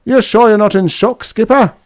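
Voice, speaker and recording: Man voicing high-pitched, urgent big military engineer. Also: normal speed, no room sound, studio quality, natural voice. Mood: disbelieving. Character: big battle-weary male military engineer, disbelieving, loyal.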